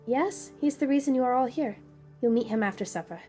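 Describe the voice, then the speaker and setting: A woman's voice: on the high side, fairly quick, warm tone, faint music behind, close to an everyday voice. A woman playing a polite, encouraging young guide to gifted children in an audio drama, speaking in formal phrases. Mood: friendly, explaining.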